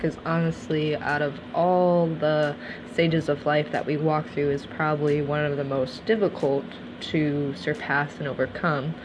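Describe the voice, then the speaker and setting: A woman's voice: deep voice, background noise. A woman in her twenties, originally from Russia, hosting a podcast and talking earnestly and reflectively about her life. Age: 20s. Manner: earnest, reflective, steady delivery. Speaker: podcast host. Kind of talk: personal monologue podcast.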